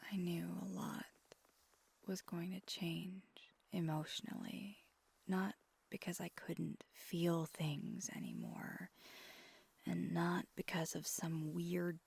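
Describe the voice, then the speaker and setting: Breathy, husky vampire girlfriend acted by a woman. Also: lowish voice, big pitch swings, dry recording, exaggerated voice. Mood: reflective, earnest. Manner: slow, gentle, intimate. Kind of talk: ASMR roleplay, soft whispered pillow talk.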